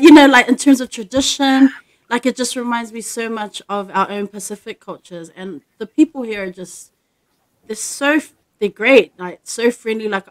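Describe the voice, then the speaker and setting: A woman's voice: on the high side, rough recording. A woman, hosting a casual video-call interview with upbeat questions. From New Zealand. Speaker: host. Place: home room with dark curtain, indoors.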